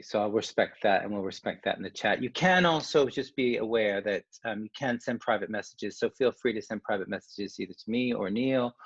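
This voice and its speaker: A man's voice: fairly low pitch, husky, dry recording, dull audio. A Canadian man, a coach, giving an online workshop presentation in a conversational way, with restarts and asides.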